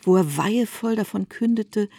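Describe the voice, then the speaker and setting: A woman narrating a literary radio play in an even, solemn storytelling manner. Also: mid-range voice, normal speed, husky, no room sound.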